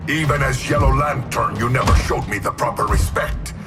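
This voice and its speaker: A man's voice: medium pitch, moderate pace, lightly acted. A man playing a vengeful, grandiose villain warlord in a video game, declamatory and menacing. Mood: menacing, scornful. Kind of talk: video game cutscene acting. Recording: distant voice under loud music. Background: loud game music.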